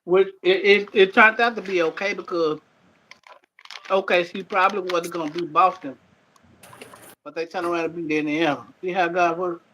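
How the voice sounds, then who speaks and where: A man in a casual sports conversation with a high voice and a sharp, bright edge. His replies are short and confident. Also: steady tone, normal speed, rough recording.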